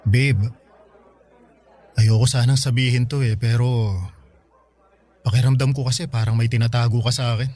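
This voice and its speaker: A man's voice: medium pitch, level tone, moderate pace, long pauses, a little mellow, a faint crowd, close to an everyday voice. A man with a Filipino accent acting an insistent but caring young boyfriend in a radio drama. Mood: suspicious, uneasy.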